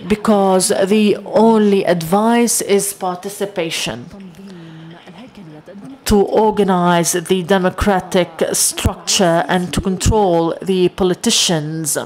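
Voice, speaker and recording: Slow, halting woman interpreter. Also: lowish voice, steady tone, a little mellow. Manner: halting, with many long hesitations. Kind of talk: simultaneous interpretation of a lecture. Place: lecture hall.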